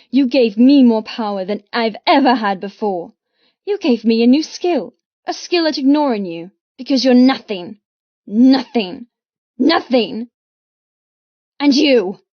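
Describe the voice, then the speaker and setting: A woman's voice: on the high side, lively intonation, a little slow, no echo, highs cut off. Woman voicing a fierce, defiant young heroine. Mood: seething defiance. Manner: emphatic, impassioned, spitting out short phrases. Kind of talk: dramatic audiobook character dialogue.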